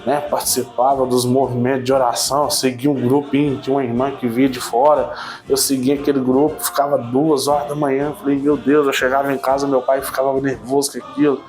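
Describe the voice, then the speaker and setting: A man in his thirties tells his own church story in a casual, talkative way, rising with excitement and then dropping low and quiet. His pitch sits in the middle range for a man. Soft music plays faintly underneath. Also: a little fast. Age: 30s. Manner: animated storytelling, frequent "né?" tags, swings between excited and subdued. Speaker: church member giving testimony. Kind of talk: casual personal testimony.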